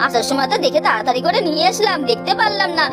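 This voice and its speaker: A woman's voice: high-pitched, expressive, racing. A woman voicing a young boy cousin in a doll cartoon, cautious and a bit lecturing. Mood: bossy. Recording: with loud background music.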